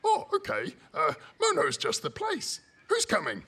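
An eager furry cartoon monster with a husky, bright voice. He is friendly and simple, hesitates often and speaks of himself by name in a New Zealand accent, with wildly swooping pitch. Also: huge pitch swings, moderate pace, exaggerated voice. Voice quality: gravelly, husky. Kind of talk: preschool cartoon voice acting.

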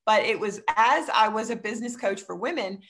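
A woman's voice: mid-range voice, lively intonation, fairly quick, fairly bright tone. Chatty woman with a faint Philly accent. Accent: Philadelphia. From Philadelphia area. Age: 50s. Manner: chatty storyteller with frequent ums. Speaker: guest, real estate coach. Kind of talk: casual video-call interview. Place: home room, indoors. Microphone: video call.

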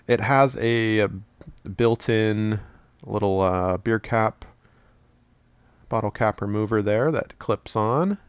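A man giving a relaxed, rambling product review at home, with plenty of fillers and restarts. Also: fairly low pitch, takes it slowly, pauses often, smooth, no echo.

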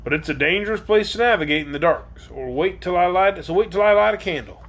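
A woman voicing a gruff, cautious old sailor man from a storybook, plain-spoken and using folksy dialect. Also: medium pitch, animated, fast, a bit bright. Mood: practical.

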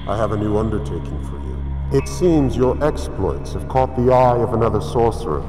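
A man's voice: lowish voice, expressive, normal speed, lightly acted. A man portrays an old martial arts master in a video game, stern and dignified. He speaks formally and with authority in a reverberant hall, with faint music underneath. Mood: formal, authoritative. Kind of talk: fantasy video game cutscene acting.

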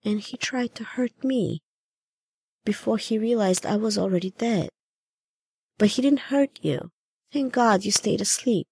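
A woman voicing a gentle, confused old grandmother ghost in a story, soft and hesitant. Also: mid-range voice, unhurried, gravelly, pauses often, breathy edge, no echo, voice pushed up, full character voice. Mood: sorrowful. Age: older.

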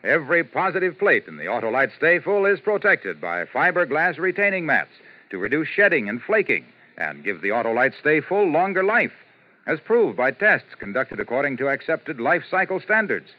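A man's voice: fairly high pitch, big pitch swings, normal speed, no room sound, dull audio. A man announcing a commercial in 1950s radio advertising patter. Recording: dry, band-limited 1950s radio.

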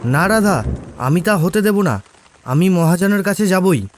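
A man's voice: sits high, expressive, a little slow, a little hiss or hum. A man voicing a poor, desperate fisherman and father in a horror cartoon, speaking earnestly and humbly. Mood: desperate resolve. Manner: earnest, pleading. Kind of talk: animated horror story acting.

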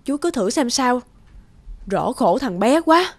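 An older village woman in a cartoon folk tale. She speaks very slowly and with pity, giving careful advice in a dry recording. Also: fairly high pitch, big pitch swings, no room sound, exaggerated voice. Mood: worried, pitying. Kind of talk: animated folk tale voice acting.

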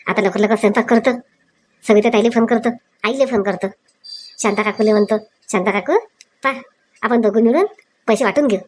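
A woman's voice: a little high, brisk, pauses often, a little mellow, slight background noise, lightly acted. Woman voicing an eager young village wife. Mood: content. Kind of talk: comic cartoon dialogue.

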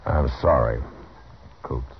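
An actor playing a stern, weary American frontier marshal in a measured, grave manner for a 1950s western radio drama. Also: male voice, extremely low pitch, vocal fry, full character voice. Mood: grave, probing. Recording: muffled, hissy 1950s radio recording.

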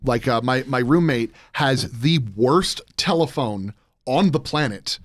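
A man's voice: mid-range voice, lively intonation, a little slow, no echo, studio quality. A man in his thirties chatting as an interview guest, rambling and self-deprecating. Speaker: podcast guest, sound designer and voice artist. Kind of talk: casual interview chat. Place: small podcast studio. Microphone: close studio microphone on arm.

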